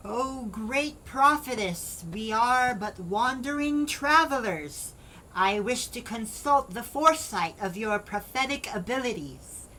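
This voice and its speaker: A woman's voice: on the high side, lively intonation, pushed and bright, a little hiss or hum, heavily acted, rough recording. A woman playing an earnest, hesitant young man in an audio drama. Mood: formal pleading. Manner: hesitant, slow.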